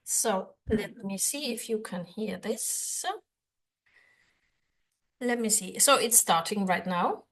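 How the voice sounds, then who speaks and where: A woman's voice: mid-range voice, slow pace, pauses often. A woman whose first language is German gives an earnest, rambling English lesson to camera, with many fillers and restarts. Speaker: language-learning YouTuber. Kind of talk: casual teaching to camera.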